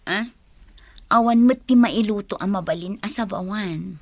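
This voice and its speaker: A woman's voice: mid-range voice, unhurried, a little mellow, dry recording. An actress with an Ilocano Filipino accent playing a meek, lovesick young woman who pleads with her boyfriend in a radio drama. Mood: timid, placating.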